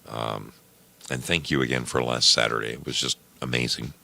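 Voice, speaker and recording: Man, extremely low voice, very bright forceful edge, folksy radio host, casual delivery. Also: steady tone, normal speed, creaky. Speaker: host of an old time radio program. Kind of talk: casual radio show sign-off to listeners.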